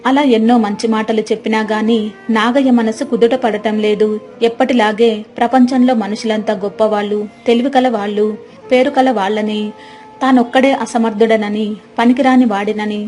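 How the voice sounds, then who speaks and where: A female narrator reads a scripted moral tale. Her voice is somewhat high, and faint music plays behind her. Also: steady tone, normal speed, darkish tone.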